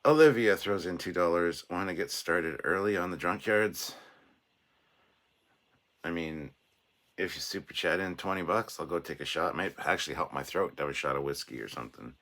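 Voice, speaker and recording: A man hosting a casual livestream with a sore, hoarse throat, chatting in a rambling way and reading out viewer comments. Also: deep voice, normal speed, fairly bright tone. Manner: rambling and chatty, with restarts and self-deprecating asides. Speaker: livestream host.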